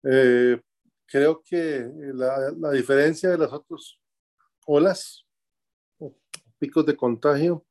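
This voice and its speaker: Measured older Costa Rican man, mellow voice. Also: fairly high pitch. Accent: Costa Rican Spanish. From Costa Rica. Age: 60s. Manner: measured, halting with fillers, searching for words. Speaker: interviewee. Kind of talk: remote interview answers. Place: his home.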